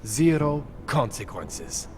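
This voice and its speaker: An excitable eccentric tech doctor. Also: male voice, mid-range voice, slow pace, faint music behind. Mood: eager. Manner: eager, boastful, joking. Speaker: tech specialist fixing implants. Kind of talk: video game cutscene acting.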